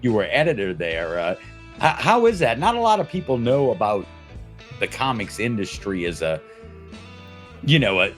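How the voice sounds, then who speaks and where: Rambling man hosting, lively swooping voice. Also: on the low side, soft background music. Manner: rambling, halting, repeats himself. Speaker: host of an interview show. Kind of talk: casual chatty interview. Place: video call, remote interview.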